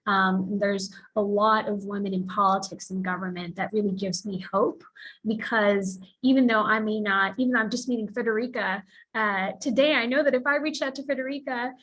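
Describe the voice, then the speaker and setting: A woman's voice: medium pitch, fairly bright tone, rough recording. A woman from the Philippines speaking earnestly as a panelist, sharing her own path with many fillers. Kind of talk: panel discussion, personal account.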